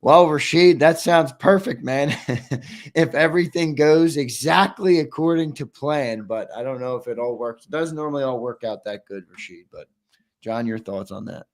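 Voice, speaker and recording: A man's voice: medium pitch, moderate pace. A man hosting a casual sports talk show, upbeat and losing his voice. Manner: upbeat, reads viewer questions and ad reads. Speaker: host.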